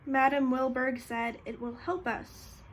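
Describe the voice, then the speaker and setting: Woman acting wistful schoolgirl, high breathy voice, slow delivery, shy, stage letter monologue. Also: flat delivery. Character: shy, wistful teenage schoolgirl missing her friend.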